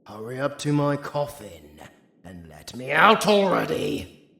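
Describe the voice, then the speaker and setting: Casting: male actor as undead British necromancer villain for audio roleplay; sardonic, flirtatious, gravelly, with wildly swooping theatrical delivery over faint background noise. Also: mid-range voice, sing-song, bright, forceful tone, reverberant, heavily acted. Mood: exasperated. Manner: theatrical, sarcastic, swearing, drawn-out delivery. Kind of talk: intimate audio roleplay acting, addressed to the listener.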